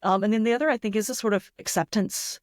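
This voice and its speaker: A woman's voice: medium pitch, fast talker, no echo. A woman talks as a guest writer in an interview. She is reflective and enthusiastic, working through ideas with imagined examples. The sound is dry, with hardly any room on it. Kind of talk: thoughtful interview talk.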